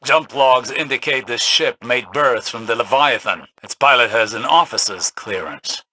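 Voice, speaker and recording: A man playing a grave, scholarly veteran commander in a video game, with a measured, formal, solemn delivery. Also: mid-range voice, background noise, natural voice. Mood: concerned.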